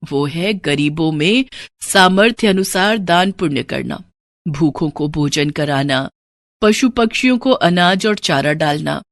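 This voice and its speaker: Woman playing a pious, measured older mother-in-law. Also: lowish voice, no echo. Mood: earnest, advising. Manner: measured, earnest, moralizing. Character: pious, gentle, aging Indian mother-in-law, patiently advising.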